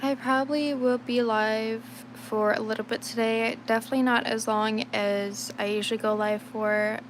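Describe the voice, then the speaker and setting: A young woman with a slight rasp from being sick, chatting casually and thinking aloud while she draws on a livestream. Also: on the high side, fairly even intonation, normal speed, slight background noise. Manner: rambling, thinks aloud, many fillers. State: sick, a little raspy. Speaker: livestreaming digital artist. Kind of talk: casual chat while drawing on stream.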